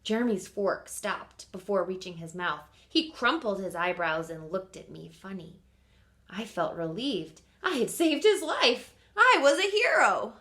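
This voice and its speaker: A woman reading a picture book aloud expressively as a teacher does for children, pausing to ask simple questions. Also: mid-range voice, very animated, pushed and bright. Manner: pauses to quiz listeners with simple questions.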